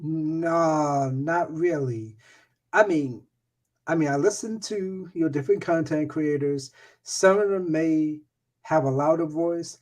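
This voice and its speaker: Slow, hesitant man guest. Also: fairly high pitch, a little mellow. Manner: hesitant, fillers. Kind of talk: casual chat.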